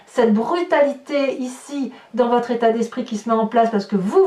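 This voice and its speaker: A woman with a fairly high voice talks a viewer through a tarot spread, improvising as she goes. She explains each point and restates it for emphasis. The sound is very roomy, with her voice some way from the microphone. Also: a little mellow. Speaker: tarot reader. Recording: roomy echo, distant from the mic.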